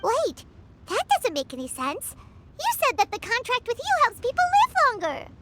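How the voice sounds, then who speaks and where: A woman's voice: very high voice, wildly swooping pitch, normal speed, heavy background noise, full character voice. A woman voicing a small, anxious girl companion who asks worried questions.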